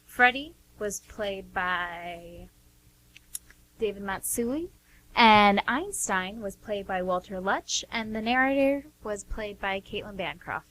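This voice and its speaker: Female narrator, bright forward tone, mid pitch, scripted radio play narration. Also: lively intonation, slow pace, slight background noise, rough recording. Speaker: narrator, guest performer.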